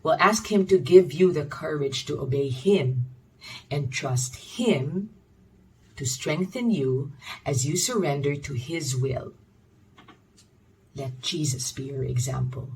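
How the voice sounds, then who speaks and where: A woman reading a scripted devotional in a measured, reflective manner, with deliberate pauses. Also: deep voice, darkish tone, far from the mic, a little hiss or hum, rough recording. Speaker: devotional reader.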